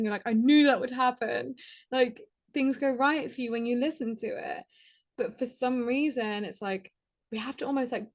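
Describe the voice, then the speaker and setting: A woman guest on a podcast, talking in a chatty, casual way, with lots of "like" and quick laughs. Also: high voice. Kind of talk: casual conversational interview.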